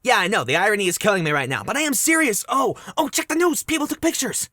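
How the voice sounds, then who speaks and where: A woman playing an excitable, panicky teenage boy in an audio drama, frantic and exasperated. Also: medium pitch, fast talker, no room sound, studio quality.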